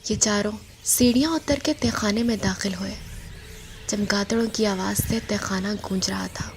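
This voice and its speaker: A woman's voice: mid-range voice, moderate pace, noisy background. A woman giving a theatrical, suspenseful narration of a horror audio drama. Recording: loud music over the voice. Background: loud background music.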